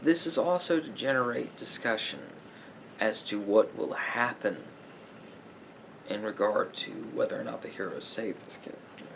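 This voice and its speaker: A man speaking softly as a therapist in an ASMR roleplay, in a measured, halting, explanatory way. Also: on the low side, animated, a little hiss or hum, rough recording.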